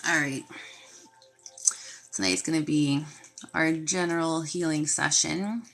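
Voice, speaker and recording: A woman's voice: sits low, fairly even intonation, slow. A woman hosting a healing live stream from her phone, sniffly from a sinus infection, chatting casually and greeting viewers by name. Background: desk fountain and quiet music.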